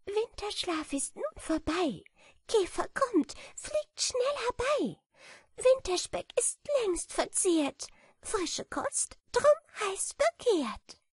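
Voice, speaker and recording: A woman's voice: extremely high pitch, animated, slow, no echo, clean studio sound, voice pushed up, heavily acted. A woman reading aloud voices a small, hungry female bat just woken from hibernation, singing and complaining in a fine, thin soprano. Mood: cheerful. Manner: complaining, sighing. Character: tiny weary female bat, sleepy and hungry. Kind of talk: storybook character voice.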